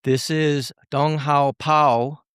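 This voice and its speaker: A man hosting a podcast interview, speaking courteously in halting phrases with pauses. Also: fairly high pitch, steady tone, fairly bright tone, clean tone, dry recording, studio quality. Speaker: podcast host.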